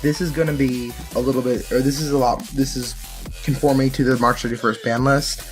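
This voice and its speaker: A man's voice: mid-range voice, flat delivery, brisk, faint music behind. A man giving casual, unscripted commentary on card game play and decks, explaining things and correcting himself as he goes. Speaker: card game channel host.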